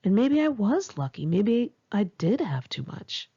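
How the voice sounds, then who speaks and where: A Californian American woman reading her own memoir aloud in a candid, expressive, conversational way, with a husky, creaky voice. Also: mid-range voice, unhurried, dull audio. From Los Angeles area, California, United States. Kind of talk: narrated memoir audiobook, one-take home reading.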